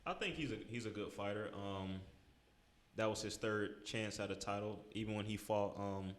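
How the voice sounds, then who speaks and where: A man's voice: lowish voice, moderate pace. A man on a casual boxing show, giving confident, direct opinions.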